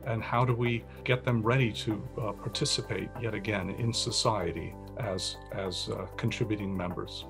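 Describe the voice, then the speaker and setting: A man, a professor, explaining his research in a steady, explanatory way with some restarts. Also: lowish voice, normal speed, creaky, hardly any pauses, over music. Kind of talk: interview-style talk about research.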